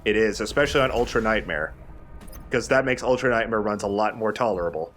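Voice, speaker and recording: Guy with a lowish voice chatting thru a video game, explaining stuff casually. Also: fairly quick, a bit bright, slight background noise. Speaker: let's play host.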